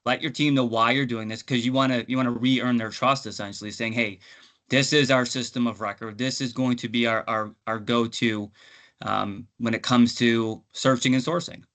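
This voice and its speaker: A man's voice: medium pitch, steady tone, moderate pace, fairly bright tone. A man on a webinar panel giving conversational business advice in an informal, explanatory way. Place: online webinar call.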